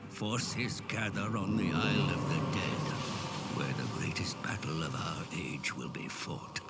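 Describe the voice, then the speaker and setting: A man voicing a grim, ominous narrator of dark fantasy game cutscenes, gravelly and theatrical, with breathy phrase endings. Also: deep voice. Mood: grave, menacing. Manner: slow, theatrical, portentous. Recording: loud music under the voice.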